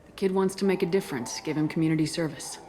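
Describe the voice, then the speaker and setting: A woman playing a confident soldier commander in a video game, speaking in a direct, steady way. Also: low-pitched, fast talker, slight background noise, lightly acted. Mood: firm. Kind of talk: video game dialogue acting.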